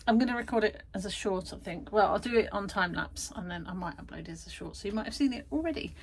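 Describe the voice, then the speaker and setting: A woman's voice: medium pitch, fast talker, creaky, breathy edge. A woman vlogging from her garden shed studio, chatty and self-deprecating, tired after busy weeks. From United Kingdom. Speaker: vlogger and art workshop tutor. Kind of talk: casual chatty vlog.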